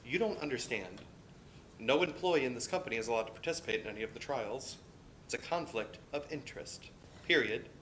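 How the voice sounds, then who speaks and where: Brisk, stern office boss, played by a man. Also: medium pitch, animated, fairly bright tone, slight background noise, lightly acted. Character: stern, by-the-book male office boss who relents. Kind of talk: dramatic play reading.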